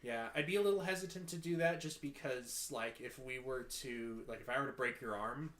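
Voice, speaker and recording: Bright-voiced man, echoey room. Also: medium pitch, fairly quick. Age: 30s. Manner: hesitant, restarts often, laughs a lot. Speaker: tabletop game player. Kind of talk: casual in-character game chat. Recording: echoey room with faint noise.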